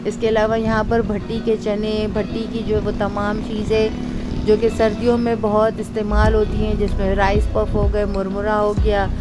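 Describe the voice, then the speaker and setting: Flat-voiced Pakistani woman touring a market. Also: mid-range voice, moderate pace. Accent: Pakistani Urdu. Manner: steady, listing items one by one. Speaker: vlogger. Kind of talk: market tour narration. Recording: music bed underneath.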